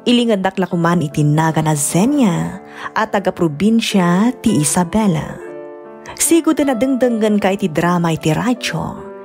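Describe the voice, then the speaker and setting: A woman playing a letter writer near fifty, narrating her life in a measured, reflective Filipino-accented radio drama voice. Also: lowish voice, animated, a little mellow, soft background music.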